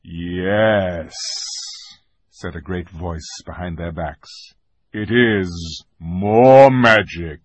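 A man voicing a majestic male lion who speaks slowly and solemnly, grave but gentle. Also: deep voice, dry recording, highs cut off. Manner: slow, solemn delivery.

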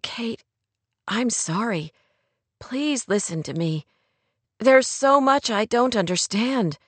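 A woman narrator plays a gentle young woman in a romance audiobook. She speaks softly and hesitantly in a fairly high voice, bewildered and pleading, with a dry, close sound. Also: animated, unhurried, no echo. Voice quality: soft, murmuring.

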